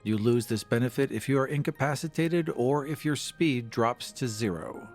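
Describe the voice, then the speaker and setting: A man's voice: mid-range voice, moderate pace. A man playing a tabletop roleplaying game, casually describing what his characters do. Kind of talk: casual play-by-play of game actions. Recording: faint music behind. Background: background music.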